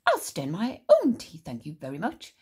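A woman's voice: fairly low pitch, wildly swooping pitch, normal speed, darkish tone, slightly rough, full character voice. A woman voicing a tiny, cruel, grumbling old grandmother who snaps orders and relishes disgusting food. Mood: bossy. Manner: snappish, bossy, relishing disgust.

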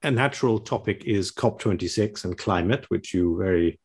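A British man with a low voice interviews a guest from his home study. He talks in a measured, polite way and frames long questions, pausing with "um". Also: husky. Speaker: interviewer, society chair. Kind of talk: formal video-call interview. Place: home study with bookshelves, indoors. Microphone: video call.

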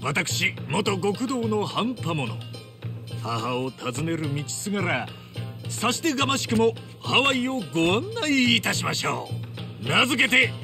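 Lively, high-voiced brash ex-yakuza hero. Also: male voice, measured pace, music in the background, full character voice. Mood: showy, proud. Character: brash, earnest ex-yakuza hero, adult man. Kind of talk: theatrical Japanese game dub acting.

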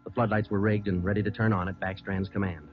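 A tough, dry police detective narrating an old radio crime drama, played by a man. He speaks low, rapid and terse. The recording is muffled, with some music. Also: level tone, natural voice. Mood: matter-of-fact. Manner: terse, matter-of-fact. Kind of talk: 1950s radio drama acting with scripted narration.

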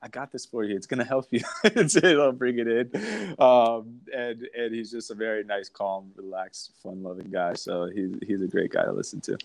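A man in his thirties, a pro soccer player guest, chatting on a video call from home in a relaxed, hesitant way with many ums. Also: mid-range voice, moderate pace, dry recording. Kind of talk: casual video-call chat. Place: home room.

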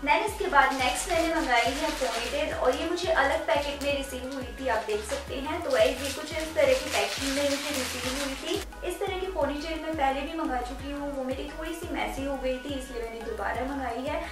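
High-voiced chatty young woman in an echoey room. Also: a little fast, few pauses. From India. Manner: chatty, persuasive, addresses viewers as guys. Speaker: fashion vlogger. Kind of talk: casual shopping haul talk. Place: indoor studio with purple backdrop. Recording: very echoey room, mic at a distance, music underneath.

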